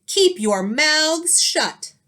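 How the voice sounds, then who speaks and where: A woman's voice: high voice, sing-song, extremely slow, sharp tone, pitched above the performer's own voice, heavily acted. A woman voicing a stern, snappish, witch-like substitute teacher in a children's storybook, curt and bossy.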